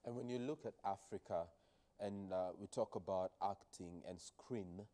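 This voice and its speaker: A man in his thirties with a Kenyan accent, interviewing a guest on television in measured, conversational phrases. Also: deep voice, warm tone. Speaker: TV interviewer, host. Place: TV studio, seated on a sofa. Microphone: headset or clip-on mic.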